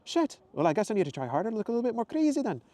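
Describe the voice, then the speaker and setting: Eccentric woman shopkeeper, gushing, loud, high voice, done by a man. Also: sing-song, very fast, no room sound. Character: bubbly eccentric woman shopkeeper, mid-to-late thirties.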